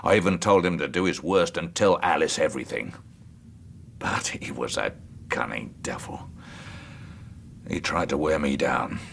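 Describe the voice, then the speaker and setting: An actor in a radio drama playing a dying old landowner confessing a killing, bitter and unrepentant, with a gravelly, husky voice. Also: male voice, extremely low pitch, unhurried, pauses often, fairly bright tone, slight background noise, exaggerated voice. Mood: weary, bitter. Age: older.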